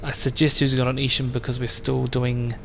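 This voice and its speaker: A man with a slightly croaky voice hosting a let's play, chatting casually and addressing viewers directly. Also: medium pitch, fairly quick. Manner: relaxed and chatty, talks straight to viewers. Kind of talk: casual gameplay commentary. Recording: voice over game sound noise.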